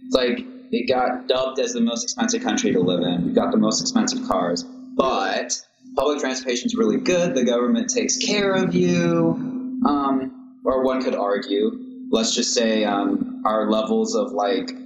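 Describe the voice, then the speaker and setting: A man's voice: mid-range voice, moderate pace. Laid-back young man from Singapore. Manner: easygoing, laughs often, rambling with restarts. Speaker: guest composer. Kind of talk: casual podcast interview. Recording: echoey room, dull lo-fi sound, audible noise.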